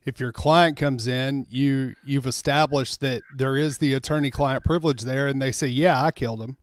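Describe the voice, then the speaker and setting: A man's voice: mid-range voice, fairly even intonation, moderate pace. A man, explaining points casually as a panelist in a discussion. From Southern United States. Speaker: panelist discussing legal matters.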